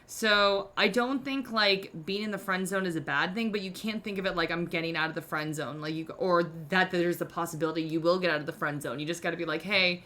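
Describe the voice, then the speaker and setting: A woman's voice: fairly low pitch, fairly quick, few pauses, bright, forceful tone. A woman in her thirties hosting a podcast, giving candid relationship advice in a casual, self-mocking way, full of "like". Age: 30s. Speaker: podcast host.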